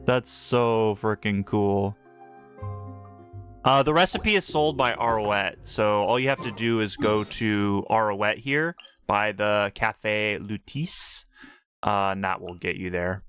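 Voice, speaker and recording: A man livestreaming casual game commentary, excitable and repeating phrases for emphasis as he talks to his chat. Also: fairly low pitch, slow. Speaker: game streamer. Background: faint game music.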